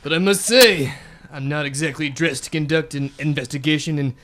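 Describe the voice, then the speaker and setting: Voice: medium pitch, a little fast, a bit bright, natural voice. A young performer playing a pompous, exasperated detective boss in a comic radio serial, wordy and indignant. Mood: scolding, smug. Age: young adult. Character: pompous hard-boiled detective boss, exasperated and self-important. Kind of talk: comic radio serial acting with noir narration. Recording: guitar music behind the voice.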